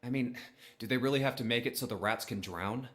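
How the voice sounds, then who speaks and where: A man's voice: medium pitch, a little fast, fairly bright tone, lightly acted. An actor playing a puzzled, skeptical young man with a hesitant, questioning manner in visual novel game dialogue.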